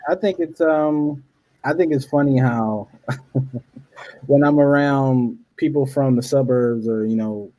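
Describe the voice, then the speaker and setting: A man from Philadelphia tells stories in easy street slang on a video call from home. His voice is mellow and warm, pitched in a middle range. He speaks casually as a panel guest. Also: slow, low-quality recording. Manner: lively storytelling with slang and laughs.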